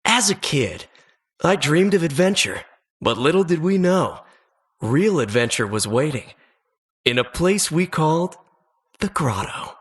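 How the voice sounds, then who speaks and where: A man's voice: on the high side, big pitch swings, a little slow, long pauses, husky, heavily acted. A man playing an earnest adventurer who narrates childhood dungeon exploits for a video game in a suspenseful, wistful storybook manner. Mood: wistful, dramatic.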